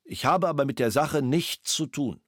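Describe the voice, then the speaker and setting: A man's voice: on the high side, expressive, moderate pace, no room sound. A male witness giving a formal, matter-of-fact statement for a radio play. The voice is close and completely dry. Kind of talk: reading a police statement.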